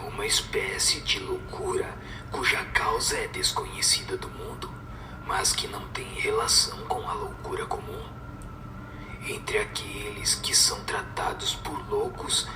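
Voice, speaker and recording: A man playing a solemn spirit teacher in a radio drama, speaking in a measured, didactic and breathy whispered voice. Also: lowish voice, lively intonation. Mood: solemn instructive. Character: solemn male spirit teacher giving moral answers. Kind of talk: scripted radio drama recitation. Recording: echoing voice over music.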